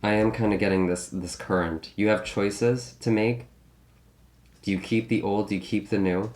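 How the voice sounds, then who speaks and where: Deep-voiced man, slightly raspy. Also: fairly even intonation, moderate pace, a little mellow. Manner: musing, thinks aloud with many hedges and restarts. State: slightly raspy from a recent illness. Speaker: tarot reader. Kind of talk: casual tarot reading.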